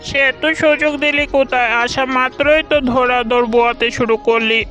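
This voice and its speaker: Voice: sits high, steady tone, normal speed, fairly bright tone, soft background music. A performer voicing a whiny fox who pleads and protests in a children's cartoon. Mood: aggrieved.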